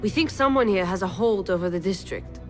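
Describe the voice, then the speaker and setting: An actress playing a blunt, streetwise assassin informant in a video game, speaking in a wry, plain-spoken way. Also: female voice, medium pitch, expressive, brisk, faint music behind, close to an everyday voice. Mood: briefing. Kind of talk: cinematic video game acting.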